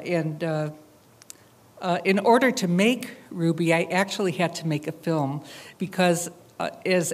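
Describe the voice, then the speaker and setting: Woman, slightly low voice, artist's public presentation, reflective, halting delivery, frequent hesitations. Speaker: artist presenting her AI robot project. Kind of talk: public talk with visuals.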